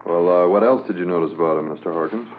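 Man doing low calm cop sergeant, brisk, old radio play sound w faint hiss. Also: close to an everyday voice. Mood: calm, businesslike. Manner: brisk, matter-of-fact, authoritative. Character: calm businesslike police sergeant in charge. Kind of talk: 1950s radio drama acting. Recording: narrow lo-fi 1950s radio recording, noise.

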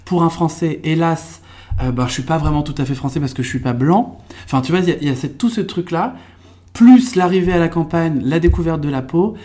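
A young man from France, a podcast guest, telling his life story in a relaxed, reflective way with lots of fillers and restarts. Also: a little high, a little fast. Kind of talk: casual conversational storytelling.

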